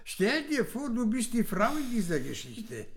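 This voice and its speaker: An actor playing a gentle, exacting male chamber music coach, halting and encouraging, in a radio drama. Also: sits high, big pitch swings. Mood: gently instructive. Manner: halting, encouraging, switches into English.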